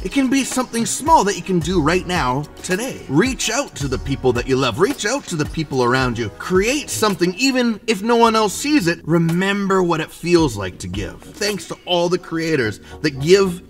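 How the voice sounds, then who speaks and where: Male vlogger, thirties, lively and fairly high voice, earnest motivational delivery over music. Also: moderate pace, few pauses. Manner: earnest, encouraging. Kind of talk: motivational vlog talk to camera. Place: outdoors on a snowy open prairie and inside a car. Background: background music.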